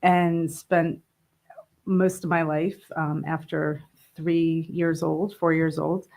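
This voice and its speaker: A woman's voice: sits low, little pitch movement, slow, vocal fry, darkish tone. A woman in her fifties who grew up in northern New Jersey, chatting easily as an interview guest and laughing often. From northern New Jersey, USA. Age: 50s. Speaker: interview guest, brewery co-owner. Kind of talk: casual interview.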